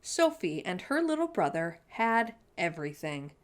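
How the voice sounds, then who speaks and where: A woman's voice: on the low side, sing-song, normal speed. A woman hosting a children's story time, reading a storybook and addressing young listeners directly with exclamations.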